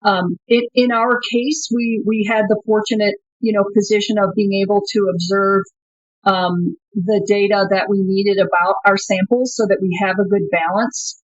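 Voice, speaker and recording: A woman's voice: mid-range voice, fairly even intonation, moderate pace, no room sound. A woman guest on an interview show talks through her answers thoughtfully, with frequent fillers and restarts. Her audio is dry and a little dull, like a remote connection. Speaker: company co-founder, guest.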